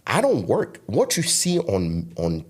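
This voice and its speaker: A man's voice: on the low side, wildly swooping pitch, slow, breathy edge. A man in his thirties, raised in Togo with French as his first language, speaking with a French West African accent, preaching emphatically as a podcast guest. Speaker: guest content creator. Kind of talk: podcast interview.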